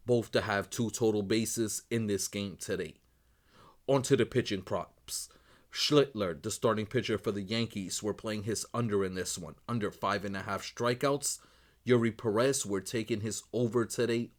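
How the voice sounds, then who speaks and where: A man who is losing his voice, running quickly through his sports betting picks as host, in a brisk set routine. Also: lowish voice. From Northeast United States. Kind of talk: rapid betting picks rundown.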